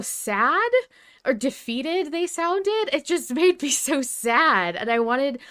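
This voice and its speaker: A woman's voice: fairly high pitch, fairly bright tone, clean tone. A woman hosting a fan podcast in casual, chatty, giggly conversation. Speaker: podcast host.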